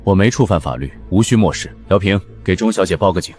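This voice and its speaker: Man acting a deep-voiced, imperious, cold man. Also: expressive, quick pace, a little mellow, breathy edge, faint music behind. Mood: cold, commanding. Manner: curt, scornful, imperious. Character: cold, commanding, proud man of power. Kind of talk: dramatised web-novel drama.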